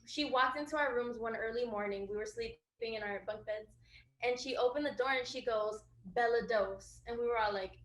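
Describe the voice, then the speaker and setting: Animated woman storyteller in an echoey room. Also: fairly high pitch, a little fast. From USA, Florida. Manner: animated storytelling, acting out quotes. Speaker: singer, interview guest. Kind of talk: casual interview.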